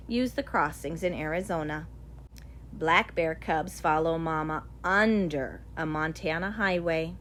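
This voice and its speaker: Expressive woman reading a children's book, lively pitch. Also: slight background noise. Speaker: audiobook reader. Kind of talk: scripted narration of a children's nonfiction picture book.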